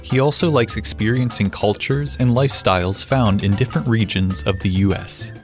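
A man introducing a radio program and its guest in a measured, scripted presenter's delivery. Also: fairly low pitch. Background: soft background music.

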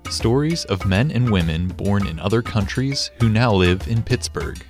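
A man presents a radio feature in a scripted, measured announcer's delivery. His voice sits fairly low. Quiet music plays beneath him. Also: lively intonation. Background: soft background music.

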